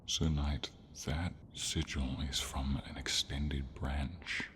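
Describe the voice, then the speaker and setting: A man voicing a friendly, devoted silver dragon in an ASMR fantasy roleplay, deep and breathy, speaking tenderly with dramatic pauses. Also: flat delivery, fairly bright tone, a little hiss or hum, exaggerated voice. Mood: awed, grave.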